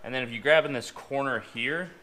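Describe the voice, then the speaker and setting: A man's voice: medium pitch, a little fast, bright, forceful tone. A young man talking informally as he hosts a hands-on how-to vlog, explaining each step. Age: young adult. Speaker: host of an off-road install channel. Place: home garage, working around a vehicle. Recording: noisy garage action-camera audio with clicks.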